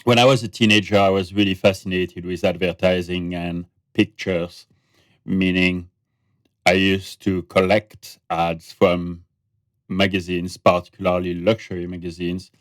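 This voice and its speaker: French man with a deep voice. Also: level tone, unhurried, no room sound. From France. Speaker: guest, brand researcher and author. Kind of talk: podcast interview conversation.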